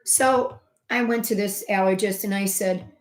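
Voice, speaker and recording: A middle-aged woman, a homeopath hosting her own health channel, telling a personal story in a chatty, conversational way with many fillers and asides, her voice swinging from loud emphasis to soft asides. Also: mid-range voice, steady tone, normal speed. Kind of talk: casual personal storytelling to camera. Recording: roomy echo.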